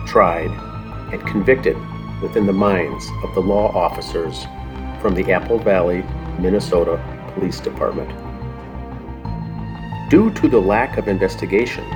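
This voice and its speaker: A man reading scripted announcer narration in old time radio style, solemn and measured in his phrasing. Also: deep voice. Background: music.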